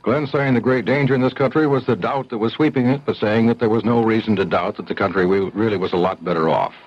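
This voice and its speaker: A man anchoring a radio news report in a measured, even newsreader manner, from an old broadcast. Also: on the low side. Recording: dull lo-fi 1970s radio broadcast.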